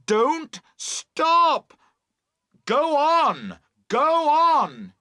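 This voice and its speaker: A man's voice: very high voice, huge pitch swings, drawn-out words, pushed and bright, no echo, clean studio sound, pitched above the performer's own voice, heavily acted. A man voicing an exasperated boater calling out instructions in a storybook reading. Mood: urgent, shouting. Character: exasperated male boater shouting instructions to girls.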